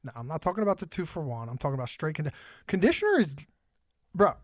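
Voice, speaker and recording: A man's voice: a little high, very animated, fast talker, no echo, clean studio sound. A man in his thirties hosting a podcast, chatting casually in a blunt, joking way and swearing freely. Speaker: podcast host. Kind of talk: casual chat.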